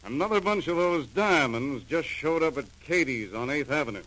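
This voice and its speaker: An American man acting a gruff, seasoned customs chief in a 1940s radio crime drama, with clipped, slangy, businesslike delivery. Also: a little high, expressive, moderate pace, fairly bright tone, low-quality recording. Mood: brisk, harried. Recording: old 1940s radio recording.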